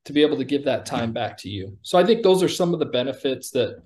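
A middle-aged American man, a company executive being interviewed on a video call, pitching conversationally and often checking in with "right?". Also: lively intonation, quick pace, a little mellow. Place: indoor room, on a video call.

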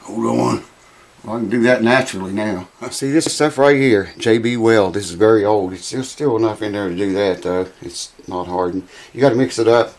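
Raspy, hoarse man. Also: fairly low pitch, moderate pace, a little mellow. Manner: rambling, folksy, swears casually. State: raspy, losing his voice. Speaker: guitar repair vlogger. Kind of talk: casual workshop chat to camera. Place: small cluttered home workshop with mounted animal heads, indoors.

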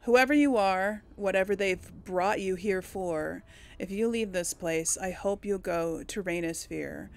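A woman giving casual let's play commentary and reading game text aloud, with a creaky voice. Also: mid-range voice, a little slow. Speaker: gaming host. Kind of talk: reads game text and dialogue choices aloud.

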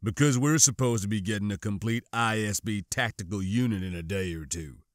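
A man voicing a serious, wary stormtrooper who speaks slowly and firmly in an audiobook. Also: fairly low pitch, no room sound, clean studio sound, natural voice. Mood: matter-of-fact. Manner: slow, firm, serious. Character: grave, wary male stormtrooper, guarded and cautioning.

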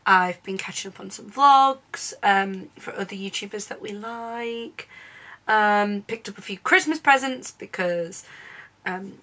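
Young female vlogger, bright voice, chatty, hoarse from a cold in later clips. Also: mid-range voice. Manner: chatty, lots of "um" fillers. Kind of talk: casual vlog chat. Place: bedroom at home.

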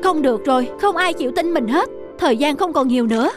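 A woman's voice: high voice, expressive, fast talker, loud music behind the voice. A woman voicing an earnest, anxious young male villager in a folktale cartoon, with a pleading manner. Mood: worried. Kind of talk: cartoon voice acting.

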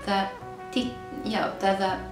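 A woman from Syria in her thirties, speaking English in a hesitant, reflective way, pausing and repeating words as she searches for them. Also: medium pitch, completely flat delivery. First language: Arabic. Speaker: singer. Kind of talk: reflective interview answers. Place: bright living room, seated on a sofa. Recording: roomy, distant, music behind.

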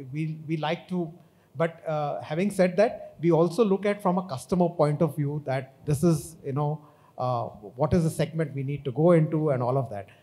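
A man in his fifties answers on a conference stage, his voice mellow and set fairly high for a man. He speaks in a measured way, stopping and restarting often with fillers, into a handheld microphone. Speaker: panelist, auto industry executive. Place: large conference hall stage.

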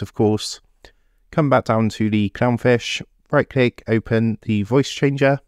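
A man's voice: medium pitch, no echo. Guy doing a screen tutorial, mid voice, dead dry desk mic, keeps saying what you wanna do. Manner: steady step-by-step instructions, repeats "what you wanna do". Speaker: tech tutorial presenter. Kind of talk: screen-recorded software tutorial. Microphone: desktop USB microphone.

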